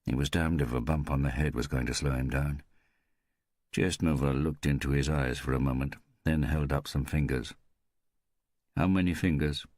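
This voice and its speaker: A man narrates a crime thriller audiobook in an extremely low voice. The recording is dry and close, with no room sound around his scripted storytelling. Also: fairly even intonation, moderate pace, darkish tone, no echo.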